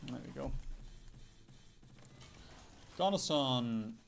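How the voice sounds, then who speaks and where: A man's voice: mid-range voice, animated, takes it slowly, creaky. A man doing casual gaming stream commentary in a rambling, jokey way, swearing casually. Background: background music.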